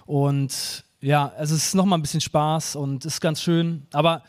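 A man's voice: on the high side, flat delivery, moderate pace. A man talking sports casually and in slangy, colloquial words as a guest on a live podcast. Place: live stage show.

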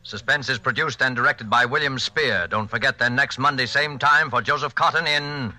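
A man's voice: medium pitch, steady tone, moderate pace, bright, forceful tone. A man doing scripted show announcements in the style of 1940s radio. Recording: vintage 1940s broadcast with music. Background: music.

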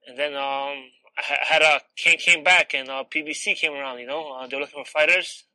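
A man from Poland, a professional boxer, giving earnest, plainspoken, halting interview answers. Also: a little high, fairly even intonation, bright, forceful tone, no echo, rough recording.

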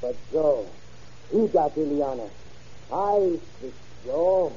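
Worked up immigrant fella, short stubborn phrases, rough gritty voice, dull old radio sound. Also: male voice, a little high, expressive, slow, mellow, heavily acted. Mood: bitter, threatening. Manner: broken English, emphatic short phrases. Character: agitated immigrant man, stubborn, threatening, pleading innocence. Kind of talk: old-time radio crime drama acting.

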